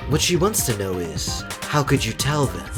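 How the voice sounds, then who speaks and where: A woman playing a cold, haughty teenage boy in an anime game fandub, measured, condescending and disdainful. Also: very deep voice, big pitch swings. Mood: cool, explanatory. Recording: loud music behind. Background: music.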